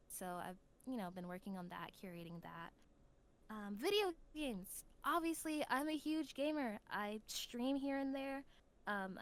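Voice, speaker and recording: A young adult woman chatting casually as a podcast guest, telling stories in an animated, slangy way. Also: mid-range voice. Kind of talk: casual chat. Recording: clear background noise.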